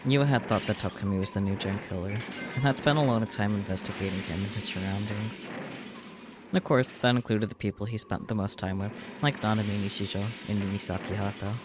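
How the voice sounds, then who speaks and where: A man's voice: on the low side, fairly even intonation, brisk, music in the background. A man reading a visual novel aloud as dramatic narration. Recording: clear background noise.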